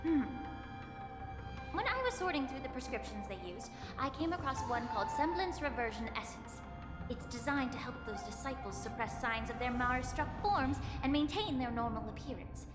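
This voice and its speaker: Composed, lilting scholarly alchemist, high-voiced. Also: female voice, animated. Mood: composed, analytical. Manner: measured, precise, formal explaining. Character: composed, scholarly young woman alchemist, head of a medicine guild. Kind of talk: scripted video game voice acting. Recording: echoey reverb, music behind.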